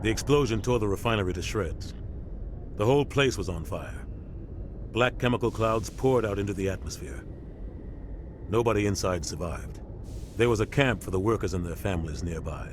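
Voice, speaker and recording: Veteran male captain, game drama, actor in role: weary, embittered, low register, clearly noisy ambience. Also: moderate pace, pauses often, lightly acted. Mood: grim recollection. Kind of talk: video game voice acting.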